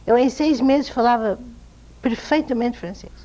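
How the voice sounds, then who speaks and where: A woman with a European Portuguese accent tells stories as an interview guest, lively and amused at herself. Her voice sits a little high, and the recording is dull and lo-fi. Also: measured pace. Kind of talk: anecdotal interview storytelling. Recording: dull lo-fi TV sound.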